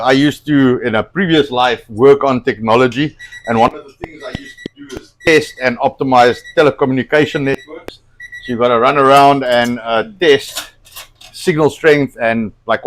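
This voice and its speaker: A man's voice: mid-range voice, poor audio. A man whose first language is Afrikaans, hosting a casual cooking livestream from a home kitchen, rambling with plenty of fillers and restarts. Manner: rambling, hesitant, lots of fillers. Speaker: cooking show host.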